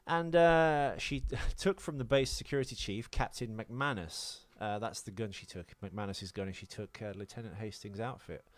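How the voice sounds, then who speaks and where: A man's voice: on the low side, normal speed, hardly any pauses, no room sound. A man co-hosting a film podcast in a casual, jokey, rambling way. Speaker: podcast co-host.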